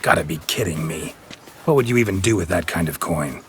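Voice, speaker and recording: An actor playing a stoic, terse monster hunter with a gravelly voice and deadpan delivery in a video game. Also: male voice, deep voice, fairly quick, a little hiss or hum. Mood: dry. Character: stoic, dry monster-hunting witcher, a grown man.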